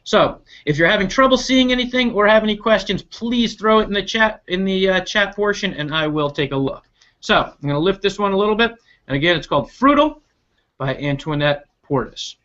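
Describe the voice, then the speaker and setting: A man's voice: sits high, expressive, brisk, sharp tone. A man hosting a children's read-aloud over a video call, upbeat and chatty, explaining things directly to his viewers. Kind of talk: expressive storybook read-aloud with chatty asides. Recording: dull lo-fi online video call.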